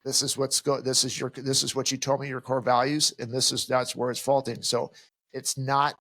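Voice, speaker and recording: Podcast co-host, middle-aged man, mid-pitched, emphatic, slips into quoted voices, close dry sound. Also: little pitch movement, moderate pace, a bit bright, no echo. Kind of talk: casual advice chat.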